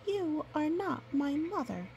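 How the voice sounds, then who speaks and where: Lady putting on a tiny lost wolf pup, wary lil guy, high voice, storybook style, bit of background noise. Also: very animated, unhurried, gravelly, a little mellow, full character voice. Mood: timid, frightened. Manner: timid, wary. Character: small frightened lone wolf pup, young male. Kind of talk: storybook character voice.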